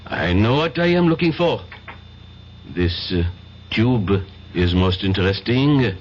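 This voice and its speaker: An actor playing a polite, methodical French police inspector in a radio drama, with fussy, hesitant speech. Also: male voice, fairly low pitch, expressive, takes it slowly. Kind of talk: 1970s radio drama acting. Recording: dull lo-fi old radio broadcast with faint hiss.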